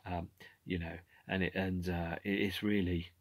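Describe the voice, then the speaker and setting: A man's voice: sits low, unhurried, no room sound. A man in his forties talks casually about an album from his home room, with lots of fillers and restarts. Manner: animated, gestures with hands, many fillers and restarts. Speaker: podcast host. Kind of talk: casual music commentary. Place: home room with shelves of CDs.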